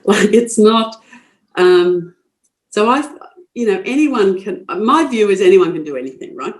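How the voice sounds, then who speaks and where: A woman's voice: mid-range voice, animated, a little slow. Older aussie woman on a podcast, blunt and frank, laughs a lot, lots of restarts. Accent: Australian. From Queensland, Australia. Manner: frank, forthright, laughs easily, many restarts. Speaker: guest. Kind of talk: podcast interview.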